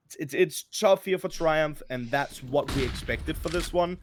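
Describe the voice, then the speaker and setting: A man's voice: a little high, moderate pace. A man giving color commentary on an esports match, analyzing plays and often repeating words as he restarts.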